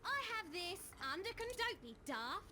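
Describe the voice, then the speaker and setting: An actress voicing a young, cheeky cadet in a video game. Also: female voice, extremely high pitch, sing-song, moderate pace. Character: young, cheeky, chirpy female combat pilot cadet. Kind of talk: video game character voice line. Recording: noisy game audio with ambience.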